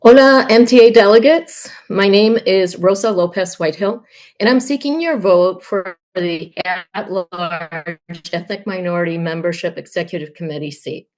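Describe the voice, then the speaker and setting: A woman's voice: mid-range voice, moderate pace, a little mellow, poor audio. A woman from Puerto Rico, a native Spanish speaker, giving a prepared campaign speech as a union candidate in an earnest, emphatic manner. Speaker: union candidate, high school Spanish teacher.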